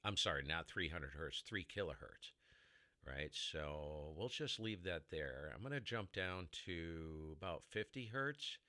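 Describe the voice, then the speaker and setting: A man with a very low baritone voice hosting a casual ham radio tutorial, rambling and sidetracking as he explains, recorded in a heavily dampened room. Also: animated, measured pace, sharp tone, no room sound, studio quality. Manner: rambling, self-interrupting explainer with mic tests. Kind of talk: casual how-to demonstration.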